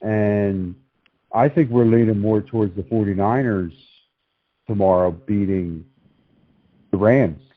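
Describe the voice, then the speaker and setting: Man, sports show host, lowish pitch, casual talk, narrow phone-quality audio. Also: takes it slowly, long pauses. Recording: tinny, narrow phone-line sound.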